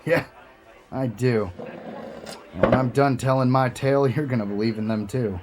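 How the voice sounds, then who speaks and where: A man's voice: medium pitch, steady tone, moderate pace, background noise, natural voice. A man playing a university professor who builds up a spooky tale in an audio drama. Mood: storytelling. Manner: dramatic, storytelling. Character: middle-aged male professor spinning a spooky tale. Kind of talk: amateur audio drama acting.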